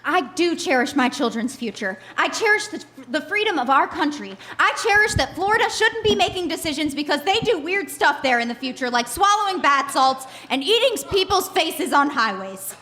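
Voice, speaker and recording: A woman playing an assertive, excitable wife and mother in a live comedy radio play, with emphatic, theatrical delivery. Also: high-pitched, normal speed, hardly any pauses, full character voice. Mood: earnest. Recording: live theater stage, faint noise.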